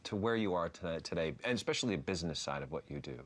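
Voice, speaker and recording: A man's voice: low voice, animated, quick pace, fairly bright tone. A man hosting a daytime TV talk show, presenting in an upbeat, friendly way. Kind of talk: daytime TV interview. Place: TV studio.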